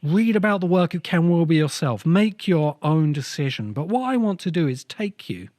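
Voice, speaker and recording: A man hosting a talk on ideas, speaking in an essay-like, explanatory style with measured, deliberate phrasing in short bursts. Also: on the high side, very animated, a little mellow, dry recording, clean studio sound. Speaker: host of a science fiction ideas show.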